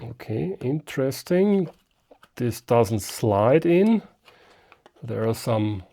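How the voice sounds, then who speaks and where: A man hosts an unscripted hands-on product demonstration in a relaxed, soft-edged voice of medium pitch. His delivery is slow and halting, as he thinks aloud while he works. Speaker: amateur radio video host.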